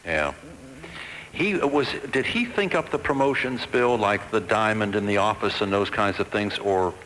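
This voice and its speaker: A man's voice: on the low side, animated, unhurried, fairly bright tone. A middle-aged man hosting a conversational radio interview, asking reflective questions that he rephrases as he goes. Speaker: radio talk show host. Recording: faintly noisy radio aircheck.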